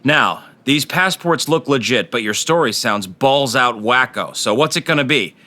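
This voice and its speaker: A man's voice: mid-range voice, lively intonation, normal speed, bright, forceful tone. A man in an audio play playing a brusque, flippant border officer with an American accent and a condescending manner. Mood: contemptuous, threatening.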